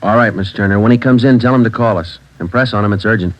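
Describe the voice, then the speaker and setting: A man playing a terse, deep-voiced police sergeant. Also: fast, natural voice, low-quality recording. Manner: clipped, flat, matter-of-fact. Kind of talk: 1940s radio drama narration. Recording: old radio broadcast with music.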